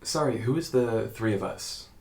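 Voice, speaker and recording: Casting: considerate podcast investigator, lowish voice with heavy fry, curious, softly probing, natural documentary-style audio drama delivery. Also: male voice, expressive, normal speed. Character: gentle, curious male podcast investigator interviewing a witness.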